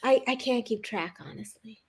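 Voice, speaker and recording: A woman's voice: a little high, normal speed, a little mellow, husky. A woman competing in a pun contest, bantering playfully with hesitant fillers and giggles over a video call. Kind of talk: quick-fire pun banter.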